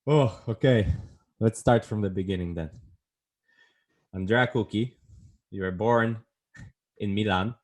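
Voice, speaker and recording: A man's voice: lowish voice, unhurried, pauses often, darkish tone. A man hosting a podcast, casual and joking, who teases his guest and swears freely. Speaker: podcast host.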